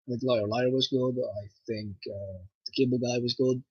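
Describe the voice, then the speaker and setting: A man's voice: mid-range voice, moderate pace, husky, no room sound, dull audio. A young man from Nova Scotia with a French Canadian accent, chatting casually and rambling on his livestream with lots of fillers. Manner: rambling, relaxed, with frequent fillers. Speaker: livestream host.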